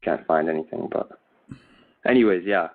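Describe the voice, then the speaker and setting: A man's voice: sits low, narrow, tinny audio. A male caller arguing defensively, stumbling over repeated words. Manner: quick, defensive, stumbling restarts. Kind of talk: heated call-in debate.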